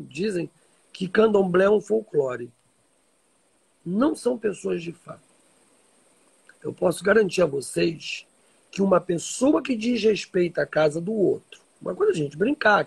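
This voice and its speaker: Gravelly, high-pitched Brazilian man. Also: poor audio. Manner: emphatic, repeats phrases for stress. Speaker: religious teacher hosting a live stream. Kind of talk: informal live-stream talk answering followers. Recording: livestream audio reposted from social media.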